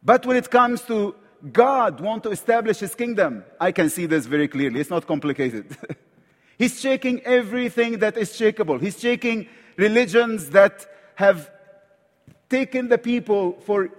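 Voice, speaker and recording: A man's voice: sits high, lively intonation, moderate pace, big-room sound. A man from Egypt with an Egyptian accent, preaching a sermon as a visiting preacher, earnest and repeating words as he searches for his phrasing. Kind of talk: sermon with prayer. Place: church sanctuary.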